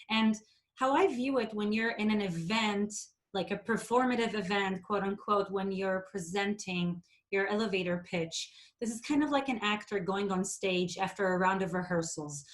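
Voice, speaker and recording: Woman from Israel coaching public speaking. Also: medium pitch. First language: Hebrew. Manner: clear, deliberate, exaggerates words to demonstrate. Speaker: presentation skills coach. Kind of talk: instructional talk.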